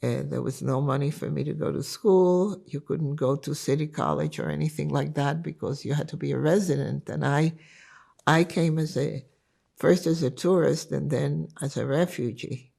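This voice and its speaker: An older woman from Lithuania whose first language is Yiddish, recalling her life story in an interview in an unhurried, reflective way. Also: low-pitched, gravelly, dark tone, breathy edge. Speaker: interviewee giving testimony.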